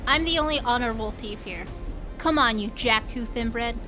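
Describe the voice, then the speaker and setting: A fierce space-outlaw fighter played by a woman in an audio drama. Her voice sits high, and she is brash, commanding and sharp-tongued. Music runs under her lines. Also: moderate pace, natural voice. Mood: brash challenge. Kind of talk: science-fiction audio drama acting. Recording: music and sound effects under voice.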